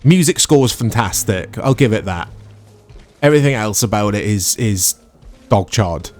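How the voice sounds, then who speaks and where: A man's voice: fairly low pitch, expressive, measured pace, a little mellow, soft background music. A man giving casual, sarcastic gameplay commentary, chatting and laughing at himself as he plays. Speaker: let's-play commentator.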